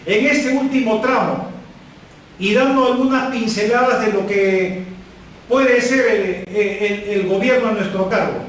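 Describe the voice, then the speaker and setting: A male interviewer speaking loudly and briskly as he hands the floor to his guest. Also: high-pitched. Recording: echoey distant mic with faint noise.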